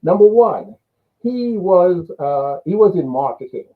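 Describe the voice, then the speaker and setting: An older man with a Jamaican accent speaks through a narrow, phone-like connection. His voice sits fairly high for a man as he recounts his life story as an interview guest. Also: expressive, a little slow. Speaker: interview guest, business coach.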